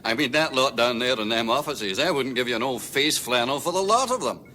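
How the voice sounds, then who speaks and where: A man's voice: mid-range voice, expressive, brisk, sharp tone, a little hiss or hum. A man playing a garrulous, nosy but respectful freighter crewman, eager and incredulous, in a radio comedy. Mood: nostalgic, rambling. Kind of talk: British radio comedy drama acting.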